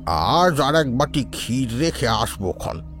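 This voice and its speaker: A man playing a curious old man with a husky voice, speaking in a questioning way in an audio drama. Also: mid-range voice, very animated, music in the background. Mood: astonished. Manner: slow, questioning, astonished. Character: curious old man on his morning walk.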